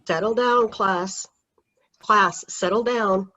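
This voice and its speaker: A woman voicing a strict high school teacher, stern and commanding, over dull video call audio. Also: slow pace, bright, forceful tone. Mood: firm.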